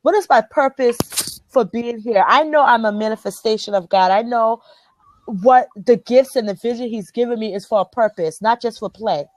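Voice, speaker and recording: A woman's voice: a little high, normal speed, rough recording. A woman with a Liberian accent hosting a motivational group chat, speaking earnestly and emphatically, often repeating phrases to drive a point home. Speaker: host.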